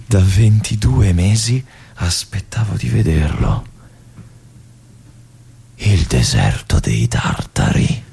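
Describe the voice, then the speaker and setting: An actor playing a brooding male army lieutenant in a theatrical monologue, with an intense, hushed delivery and phrases that drop to a whisper. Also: deep voice, sing-song, takes it slowly, gravelly, long pauses, husky, exaggerated voice. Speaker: stage actor.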